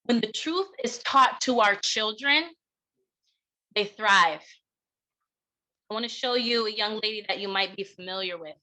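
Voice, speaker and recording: A middle-aged American woman delivering an impassioned keynote talk in an emphatic, deliberate way, with rhetorical pauses and repetition. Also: mid-range voice, bright, forceful tone, poor audio. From United States. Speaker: keynote speaker and educator.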